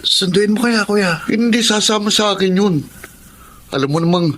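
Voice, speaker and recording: A man's voice: high voice, normal speed, a bit bright. A man playing a shifty, nervous young adult in a radio drama, with a flustered manner. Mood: worried. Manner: stammering, flustered. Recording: noisy drama track.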